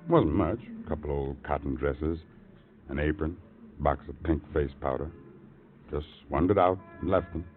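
An actor playing a gruff, brooding rooming-house man in a 1950s radio drama, musing in terse fragments. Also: a bass voice, moderate pace. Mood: guarded, musing. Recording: tinny, noisy old radio recording.

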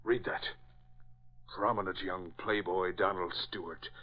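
A man's voice: low-pitched, fairly even intonation, a little slow. Imperious, scolding old father. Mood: stern, scolding. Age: older. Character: strong-willed, domineering old retired diplomat father. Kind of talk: 1940s radio acting. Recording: dull vintage radio recording.

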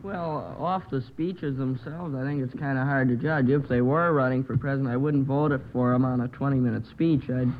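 A male quiz panelist speaks in a medium-pitched voice, reasoning things out in a measured way. The old radio sound is tinny, with faint noise. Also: fairly even intonation. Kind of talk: unscripted quiz answers.

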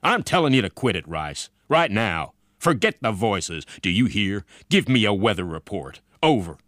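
A gruff, bullying general from a storybook, acted out by a male narrator, barks in a raised, commanding voice. He is blunt and short-tempered, and the recording is close and dry. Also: lowish voice, big pitch swings, normal speed, fairly bright tone, no echo, pitched above the performer's own voice. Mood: angry, threatening.

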